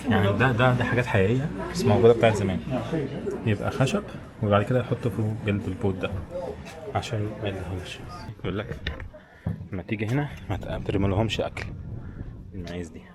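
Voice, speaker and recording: A man's voice: lowish voice, fairly even intonation, quick pace, pauses often, over a noisy crowd. A man with an Egyptian Arabic accent chatting casually and informally to viewers as a travel vlogger. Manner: informal, addresses viewers directly. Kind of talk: casual vlog chat to camera. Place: outdoors in town streets. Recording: clear outdoor street and traffic noise.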